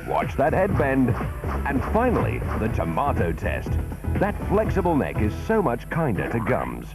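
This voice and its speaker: Hugely animated advert man over music. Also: medium pitch, normal speed. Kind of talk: playful mock-commentary advert narration.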